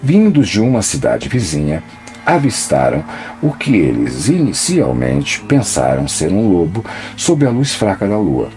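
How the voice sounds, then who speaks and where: Male narrator, scripted documentary telling, deep low voice, lively animated delivery. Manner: dramatic, measured storytelling.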